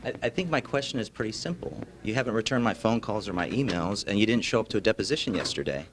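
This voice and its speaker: Male American field reporter, pressing and confrontational, rapid delivery, noisy handheld location sound. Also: on the low side, animated. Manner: insistent, pressing. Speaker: TV news reporter. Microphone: handheld reporter's microphone.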